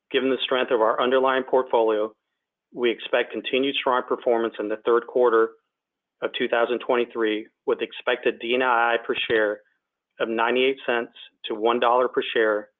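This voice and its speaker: A man delivering a scripted, formal financial presentation as a company executive. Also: medium pitch. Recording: tinny narrow phone line.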